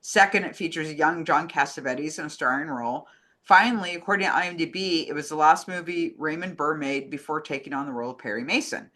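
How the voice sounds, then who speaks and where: A woman with a bright voice speaks as co-host of a casual film program. She moves between reading listener letters and chatting informally about movies. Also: sits low, brisk. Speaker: co-host reading fan questions.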